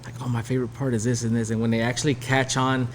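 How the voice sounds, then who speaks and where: A man hosting a podcast in a bar, talking in a relaxed, slangy way and calling his guest "bro" and "dog". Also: medium pitch, fast talker, slight background noise. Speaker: podcast host. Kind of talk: casual podcast chat.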